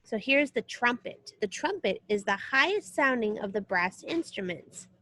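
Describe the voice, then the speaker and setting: A woman with a somewhat high-pitched voice reads aloud in the role of a music teacher. She speaks in an explanatory way aimed at young listeners, moving between the book's text and short asides of her own. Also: animated, moderate pace, bright, forceful tone. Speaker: music teacher reading a children's book aloud. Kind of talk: read-aloud lesson with short spoken asides.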